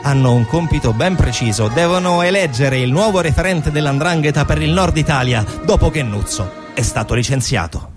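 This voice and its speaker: A man delivering dramatic theatrical narration as a stage storyteller, deliberate, with emphatic pauses. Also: mid-range voice.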